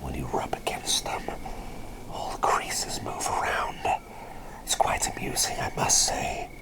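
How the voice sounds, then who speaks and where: A man playing an eccentric, excitable plague doctor in a whispered ASMR roleplay, breathy and gently doting, rambling and repeating himself with old-fashioned politeness. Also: measured pace, natural voice. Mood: flustered, musing.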